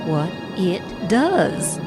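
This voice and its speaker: A sharp-tongued, distrustful woman in an audio drama. She talks slowly and sarcastically with lively intonation, and music plays clearly behind her. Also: lowish voice, heavily acted. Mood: scornful. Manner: sarcastic, deliberate. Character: sceptical, sharp-tongued woman, defiant and shrewd.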